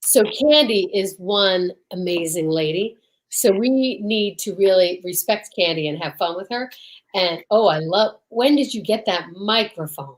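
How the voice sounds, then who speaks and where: A woman's voice: mid-range voice, measured pace, rough recording. A woman co-hosting an old-time radio podcast, chatting casually and introducing the show in a gushing, theatrical way.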